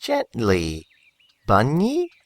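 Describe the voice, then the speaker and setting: Woman as a slow, low-voiced childlike young colt. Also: huge pitch swings, exaggerated voice. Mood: pleased. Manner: halting simple words, giggly, repeats phrases.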